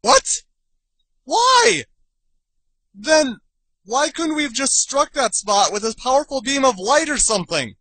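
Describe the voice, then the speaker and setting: A man voicing a snarky, excitable young male adventure hero in a parody cartoon, sarcastic and ranting theatrically. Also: extremely high pitch, a little slow, pauses often, dry recording, full character voice. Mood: exasperated. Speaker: amateur voice actor in a parody series. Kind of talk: comedic abridged-series cartoon dubbing.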